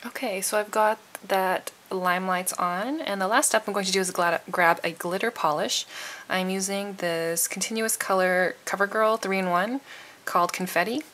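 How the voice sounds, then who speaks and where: A woman's voice: medium pitch, steady tone, moderate pace, a bit bright. A woman giving a casual, chatty tutorial voice-over, sounding a little tired and froggy. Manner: informal, chatty. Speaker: nail art tutorial host.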